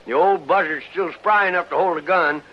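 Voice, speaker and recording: A man's voice: on the high side, fairly quick, lightly acted. An actor playing a wary, greedy frontier man with a rural Western American drawl in a 1950s radio western. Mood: wary bargaining. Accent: rural American West. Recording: muffled, noisy vintage radio recording.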